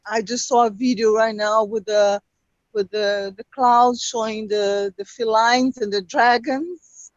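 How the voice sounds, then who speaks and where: A woman with a Brazilian Portuguese accent, Portuguese her first language, calling in and speaking halting, emotional English with many pauses. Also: fairly high pitch, steady tone, no echo. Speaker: caller. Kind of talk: call-in conversation. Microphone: video call. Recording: lo-fi video call audio.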